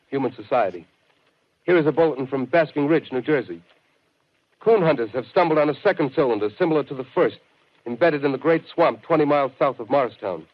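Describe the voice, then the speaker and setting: Fast-talking 1930s radio announcer, played by a man. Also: mid-range voice, lo-fi sound, natural voice. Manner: rapid, clipped bulletin delivery. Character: male radio news announcer reading urgent bulletins. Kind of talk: 1930s radio drama acting. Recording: scratchy 1930s radio broadcast.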